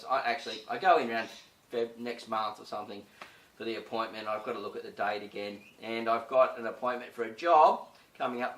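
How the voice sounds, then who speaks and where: A man hosting a casual gardening tutorial, chatty and full of fillers, his voice slightly croaky. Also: mid-range voice, a bit bright, roomy sound, distant mic, rough recording. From Australia. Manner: chatty, many "uh" and "you know" fillers. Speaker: gardening channel host.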